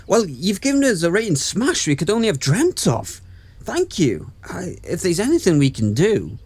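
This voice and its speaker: A man's voice: high-pitched, wildly swooping pitch, moderate pace, darkish tone, slightly rough, full character voice. A man playing a chirpy, folksy local radio presenter commentating in an audio pantomime, with background noise. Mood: grateful.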